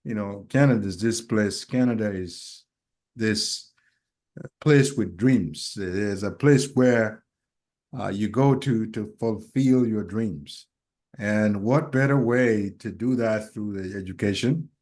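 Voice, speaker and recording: A man who grew up in Kenya, co-hosting a casual podcast and talking in a reflective, hesitant way full of pauses and fillers. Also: fairly low pitch, a little mellow. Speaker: podcast co-host.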